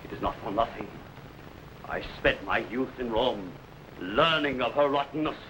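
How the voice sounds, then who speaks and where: An actor playing a ruthless, boastful barbarian warlord in a 1950s TV serial, speaking with grand, menacing pauses. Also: male voice, mid-range voice, lively intonation, noisy background, close to an everyday voice.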